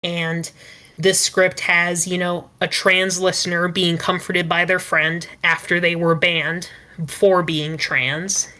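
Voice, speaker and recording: Female podcast panelist, reflective writer; lowish pitch, crisp bright edge, casual Q&A delivery. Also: level tone, slow pace.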